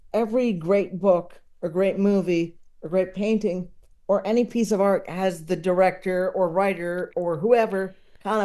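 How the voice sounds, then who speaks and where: A woman chatting casually as a podcast guest, enthusiastic and digressive, often halting as she works out her thought. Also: mid-range voice, warm tone. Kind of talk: casual chat about books and comedy.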